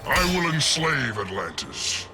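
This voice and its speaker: An actor playing a brutal, arrogant conquering beast in a video game, haughty and menacing. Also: male voice, mid-range voice, big pitch swings, unhurried, over loud music. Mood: arrogant menace.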